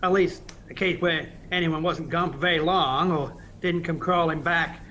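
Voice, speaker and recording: A man's voice: on the high side, steady tone, moderate pace, bright, forceful tone, highs cut off, slight background noise. A man acting in an audio play as an earnest, reflective embassy official with a conversational manner. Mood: genial, modest.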